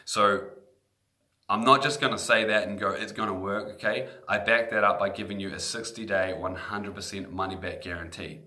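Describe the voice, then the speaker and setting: A man from New Zealand with a New Zealand accent, a guitar instructor making a casual sales pitch to camera and often asking "okay?". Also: steady tone, normal speed, pushed and bright.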